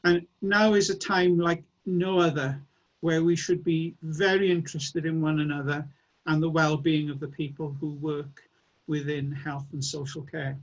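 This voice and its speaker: A man's voice: on the high side, steady tone, moderate pace, lo-fi sound. A man with a slightly raised voice chairs an online annual meeting. He speaks in an earnest, encouraging way as he wraps up. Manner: earnest, rallying closing remarks.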